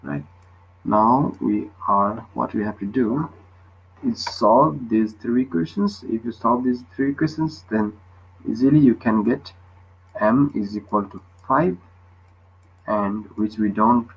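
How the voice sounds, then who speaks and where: A man's voice: mid-range voice, takes it slowly, creaky, husky, highs cut off. A man tutoring physics, explaining a worked problem step by step and often checking with short questions.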